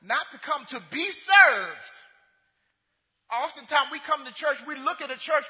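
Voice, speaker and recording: A male pastor preaching with a strikingly high, piercingly bright voice. He stammers through restarts and repeats himself, swinging between loud passion and hushed asides. The sound carries the long reverb of a church sanctuary. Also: lively intonation, unhurried. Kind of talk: sermon.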